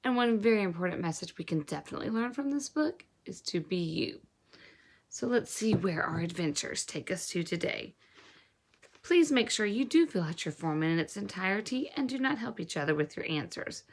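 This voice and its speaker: Woman reading a storybook aloud, lively intonation. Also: medium pitch, normal speed. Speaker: teacher reading a novel aloud to pupils. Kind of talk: storybook read-aloud narration.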